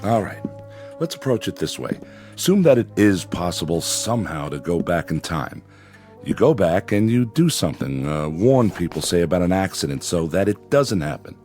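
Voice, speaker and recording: A man playing a rational psychiatrist in a drama, speaking in a deliberate, explanatory and emphatic way. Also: sits low, sing-song, darkish tone, soft background music. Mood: reasoning, emphatic. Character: measured, rational male psychiatrist reasoning with patient. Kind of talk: dramatic dialogue and first-person narration.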